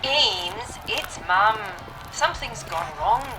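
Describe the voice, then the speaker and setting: A woman's voice: a little high, lively intonation, slow, rough recording. A woman playing a worried middle-aged mother leaving a plaintive, sighing voicemail in an audio drama. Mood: anxious, despairing.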